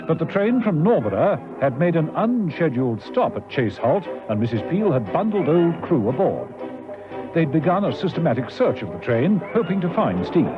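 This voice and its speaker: A man narrating a recap of a 1960s radio serial in a dramatic, scripted style. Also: a little high. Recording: dull old radio recording. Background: loud music.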